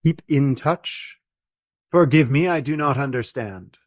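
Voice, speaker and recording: A man acting a courteous former baron turned friar in his fifties, speaking gently and formally in a radio play. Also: mid-range voice, a little slow, lightly acted. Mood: reserved, courteous.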